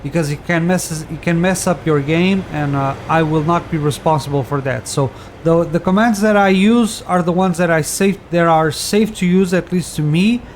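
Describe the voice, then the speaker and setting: A man's voice: fairly high pitch, moderate pace, few pauses, a little hiss or hum. A man whose first language is Portuguese, casually talking through a game tutorial with many fillers and restarts. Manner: relaxed, rambling, frequent fillers and restarts. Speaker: tutorial host.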